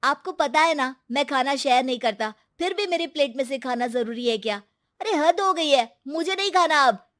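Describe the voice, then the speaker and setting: A woman's voice: high voice, lively intonation, moderate pace, a bit bright, voice pushed up. A woman voicing a spoiled, arrogant younger brother in a cartoon moral story, arguing dismissively. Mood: irritated. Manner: dismissive, argumentative.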